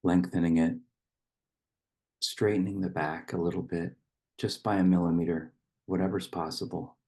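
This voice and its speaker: A man reading poetry and leading a guided meditation in a gentle, unhurried manner with long pauses. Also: low voice, steady tone, breathy edge.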